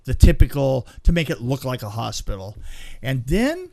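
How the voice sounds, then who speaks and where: A man in casual chat, telling anecdotes in a rambling, animated way. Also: mid-range voice, normal speed, creaky, no room sound. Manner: rambling storyteller, acts out sound effects.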